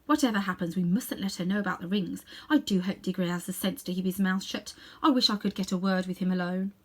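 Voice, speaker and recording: A woman's voice: mid-range voice, fast talker, natural voice. A woman voicing a sulky, wary but plucky young girl in a storybook. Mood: worried sulky.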